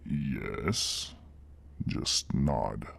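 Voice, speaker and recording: A man voicing a menacing reptilian demon boss with a deep gravelly growl, speaking in slow, mock-polite, condescending corporate fashion. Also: fairly bright tone, no echo, heavily acted. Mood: smugly menacing.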